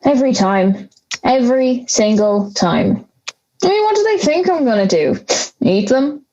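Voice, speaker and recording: A woman's voice: fairly high pitch, lively intonation, a little slow, mellow, dull audio. A woman playing an aggrieved, misunderstood big bad wolf in an audio drama, ranting with sarcastic indignation. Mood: indignant.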